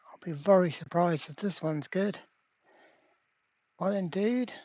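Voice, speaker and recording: Slow pace, casual repair narration, muttering to self, close dry sound, workbench. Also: mid-range voice, mellow, dry recording, lo-fi sound. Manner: mutters to self, mild swearing. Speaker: electronics repairer presenting a workbench video. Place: indoor workbench.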